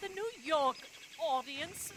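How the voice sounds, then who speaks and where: High, slow, melodramatic lady soprano. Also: lively intonation, noisy background. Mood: wounded, flustered. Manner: affected, quavering, theatrical. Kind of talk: video game dramatic dialogue.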